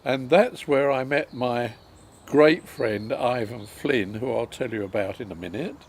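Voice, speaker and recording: A man, recalling his life story in a halting way with many pauses and ums. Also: mid-range voice, a little hiss or hum. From England. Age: 90s. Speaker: interviewee.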